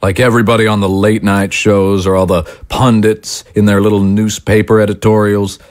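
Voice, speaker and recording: A man's voice: on the low side, little pitch movement, moderate pace, lightly acted. A man playing a self-righteous, paranoid talk-show host husband in an audio drama, ranting and condescending. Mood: mocking, heated. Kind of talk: dramatic audio screenplay acting.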